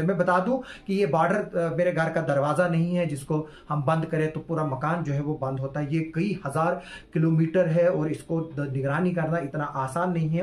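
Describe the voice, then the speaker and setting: A man's voice: a little high, a little mellow. A man, giving solo news commentary to camera with many hesitant fillers. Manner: hesitant, heavy fillers, earnest. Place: small indoor room with curtain backdrop. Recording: echoey room.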